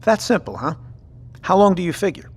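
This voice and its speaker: Husky, brusque naval commander. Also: male voice, high voice, lively intonation, fairly quick, darkish tone. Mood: businesslike. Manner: brusque, commanding, plain-spoken. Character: gruff, decisive male American naval officer in command. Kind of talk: audio drama acting.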